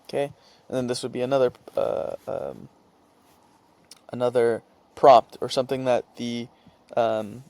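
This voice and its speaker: Man giving an informal, halting software tutorial. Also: mid-range voice, little pitch movement, creaky. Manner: halting, thinking aloud while typing and reading out script. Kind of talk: informal screen-share tutorial.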